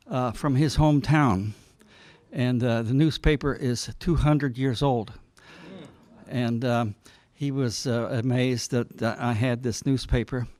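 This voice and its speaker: An American man from Ohio shares anecdotes at a club meeting. His mid-pitched voice moves along haltingly and wanders from detail to detail, with a dry, echo-free sound. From Ohio, United States. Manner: halting, meandering storytelling. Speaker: club member. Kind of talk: informal anecdotal report.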